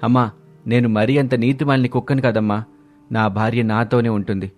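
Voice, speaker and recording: A man's voice: mid-range voice, level tone, fairly quick, faint music behind, natural voice. A man voicing a loyal young husband in a story, firm and indignant as a son standing his ground. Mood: defiant.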